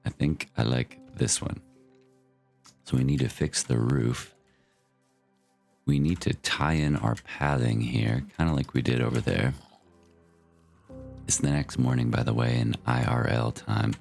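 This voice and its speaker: Super low gravelly guy chatting thru his minecraft build, faint music behind. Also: steady tone, unhurried, pauses often, darkish tone. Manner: easygoing and chatty, with loose fillers. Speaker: Minecraft builder and channel host. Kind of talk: casual gameplay commentary.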